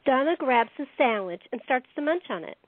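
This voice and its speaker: A woman host narrating a radio play, reading the script deliberately, phrase by phrase. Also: sits high, lively intonation, dry recording. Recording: dry, tinny phone-line audio.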